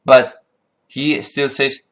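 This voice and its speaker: A man's voice: mid-range voice, flat delivery, very slow, a bit bright. Frustrated man. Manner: frustrated, rambling with restarts and fillers. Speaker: flight sim vlogger. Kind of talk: casual monologue.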